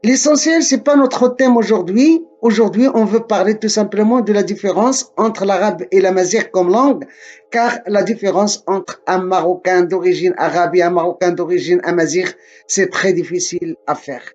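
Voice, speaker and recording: A man from Morocco giving a slow, deliberate spoken lesson, often repeating and correcting himself. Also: sits high, darkish tone, lo-fi sound.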